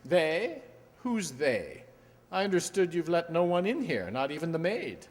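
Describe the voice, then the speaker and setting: Guy acting a sharp radio detective, precise, dry, lightish voice, echoey hall. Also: fairly high pitch, sing-song, unhurried. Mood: cool, probing. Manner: precise, commanding, dryly polite. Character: shrewd, cool gentleman detective, assured and observant. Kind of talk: old-time radio mystery acting.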